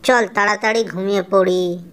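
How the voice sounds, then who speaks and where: A woman's voice: mid-range voice, steady tone, moderate pace, fairly bright tone, clean tone, lightly acted. A woman voicing a caring, hardworking mother bird in a children's cartoon fable. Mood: gentle, reassuring. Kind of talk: Bengali children's cartoon dubbing.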